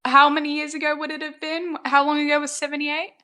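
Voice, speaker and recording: A woman co-hosting a podcast in casual chat, teasing the others and quick to laugh. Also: sits high, little pitch movement, sharp tone. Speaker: podcast co-host.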